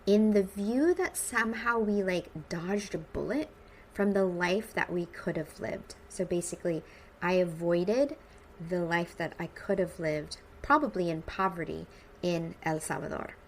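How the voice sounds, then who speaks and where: Woman, 40s, American accent, lower voice. Upbeat, informal podcast host; some background noise. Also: measured pace, poor audio. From United States, suburban Midwest. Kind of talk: personal podcast monologue.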